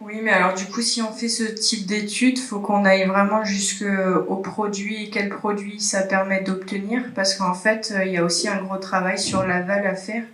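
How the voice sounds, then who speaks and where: Flat, insistent woman far in a hall. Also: mid-range voice. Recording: roomy hall, distant mic.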